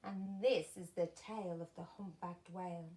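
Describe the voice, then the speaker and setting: A woman reading a children's storybook aloud as a primary school teacher, with deliberate, expressive emphasis. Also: on the low side.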